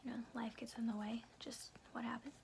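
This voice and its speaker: A woman speaking in a soft, breathy whisper in an informal, unhurried ASMR style, as host of a read-aloud series. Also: a little high, steady tone, a little hiss or hum. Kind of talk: whispered ASMR, casual rambling chat and storybook reading.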